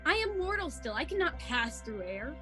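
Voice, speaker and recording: Woman acting a proud, high-voiced, swooping miser. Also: big pitch swings, normal speed, pushed and bright, faint music behind, exaggerated voice. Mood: fearful, questioning. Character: proud, defensive female miser softening into regret. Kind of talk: theatrical radio play acting. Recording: home video-call recording.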